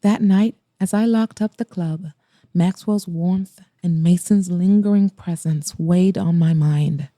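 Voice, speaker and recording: Woman, romance audiobook narrator and host, dark mellow tone, unhurried, dramatic, close dry sound. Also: fairly low pitch, dry recording.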